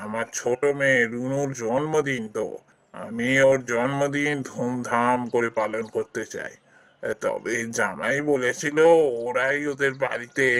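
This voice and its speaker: Man voicing benevolent old landlord, cartoon fairy tale, bright forceful tone, measured explanations. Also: mid-range voice. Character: kind, generous old village landlord, father of married daughters.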